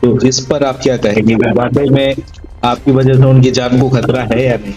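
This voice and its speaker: A man's voice: medium pitch, fairly even intonation, moderate pace, dark tone, soft background music. A man working as a news reporter, putting questions to an interviewee. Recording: dull, band-limited sound.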